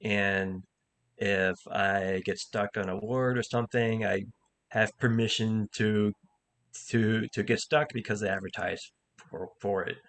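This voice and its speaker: A man with a stutter speaks in a fairly low voice, talking candidly and thoughtfully. His sentences halt and restart often, with repeated words, in a relaxed casual chat. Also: a little slow. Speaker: comic and improv teacher. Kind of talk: casual conversation.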